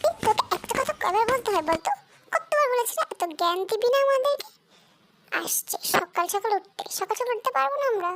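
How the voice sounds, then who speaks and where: A woman's voice: very high-pitched, expressive, fairly quick, noisy background. A woman voicing a lazy, bratty younger sister in a cartoon fairy tale, whiny and demanding. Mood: irritated.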